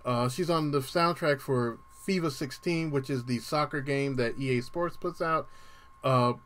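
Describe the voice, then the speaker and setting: A man hosting a music show with a dry voice, talking casually through the playlist with frequent ums and the odd throat clearing. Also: medium pitch, a little slow, fairly bright tone. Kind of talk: casual radio-style show talk.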